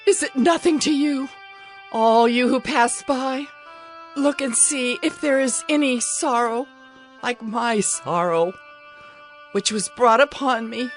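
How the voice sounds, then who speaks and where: An actress plays a ravaged, humbled woman in mourning for a dramatized scripture recording. Her voice sits fairly high and pleads in anguished lament. Soft music runs behind her. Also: slow pace. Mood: anguished pleading. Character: Jerusalem personified, a grieving, humbled, ravaged woman.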